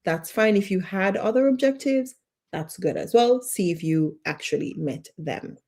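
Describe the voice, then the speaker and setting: A woman leads a live-streamed lesson. She speaks in an encouraging, repetitive way, asking the viewers questions and checking in with them. Her voice sits at a middle pitch. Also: normal speed, a little mellow. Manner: encouraging, repeats short questions, reads out viewer comments. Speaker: life coach teaching a live online class. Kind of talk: live-streamed class, talking to viewers in chat.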